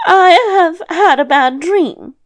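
A woman's voice: extremely high pitch, takes it slowly, highs cut off, voice pushed up. A woman voicing an old grandmother in a storybook tale, sly and coaxing, both scolding and soothing. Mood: plaintive. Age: older.

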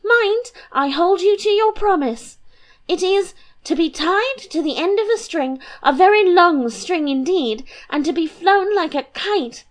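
A woman voicing a giddy, whimsical young princess who talks in high, excited bursts. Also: measured pace.

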